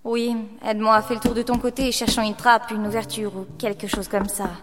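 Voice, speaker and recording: A woman plays a young Parisian journalist of 1888 in an audio drama. Her voice is high, expressive and literate, curious and bold, with music playing underneath. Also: a little fast, natural voice. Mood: curious, focused. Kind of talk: French audio drama acting with first-person narration.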